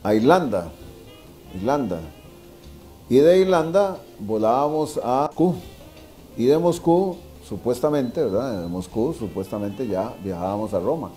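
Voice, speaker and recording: Mellow older Costa Rican man telling stories. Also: medium pitch, expressive, unhurried, soft background music. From Costa Rica. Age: 60s. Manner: repeats phrases for emphasis, tag questions. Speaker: interview guest, veteran sports journalist. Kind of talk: casual anecdote telling. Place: wine bar dining room, indoors.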